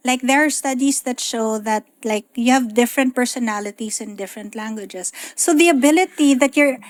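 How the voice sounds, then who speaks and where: A woman's voice: fairly high pitch, a little fast. A woman in her thirties with a Filipino accent, a guest talking thoughtfully in English mixed with Tagalog. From Philippines. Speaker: guest, economist. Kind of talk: casual chat.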